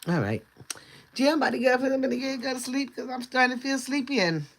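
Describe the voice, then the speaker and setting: A man casually chatting on a livestream in a loose, rambling way, his voice going hoarse. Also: very high-pitched, big pitch swings, fast, fairly bright tone. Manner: loose, rambling talk with easy laughs. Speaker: livestream host.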